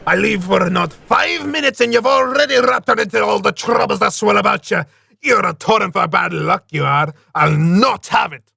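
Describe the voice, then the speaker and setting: Creaky, high-voiced brawler. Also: male voice, moderate pace, sharp tone, no echo, clean studio sound, exaggerated voice. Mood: scolding, fond. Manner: scolding, colourful British slang. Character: blustering, brawling, fiercely loyal male friend. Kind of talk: fantasy audio drama acting. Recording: music under the dialogue.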